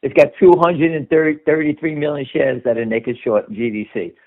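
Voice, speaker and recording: The audio is slightly dull and lo-fi, like a conference call. A man with a fairly high voice gives off-the-cuff market commentary. He is emphatic and insistent, leaning on short statements and rhetorical questions to drive each point. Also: a little fast.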